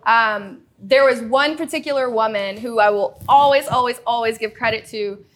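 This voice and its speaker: A woman's voice: a little high, normal speed, a bit bright. A woman from Louisiana speaking as an interview guest in a casual, earnest way with emphatic repetition. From Shreveport, Louisiana, United States.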